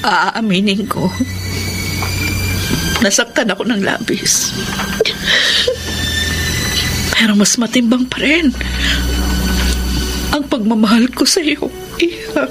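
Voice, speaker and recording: An actress playing a pious, forgiving older aunt and mayor in a Filipino radio drama, gentle and solemn with a Filipino accent. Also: female voice, fairly high pitch, huge pitch swings, measured pace, long pauses. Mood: tender, hurt. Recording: very loud music underneath.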